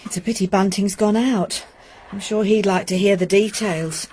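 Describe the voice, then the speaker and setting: An actress playing a practical, sharp-tongued married landlady in a radio drama, brisk and scolding. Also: medium pitch, a little hiss or hum, natural voice. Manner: brisk, scolding, lively intonation.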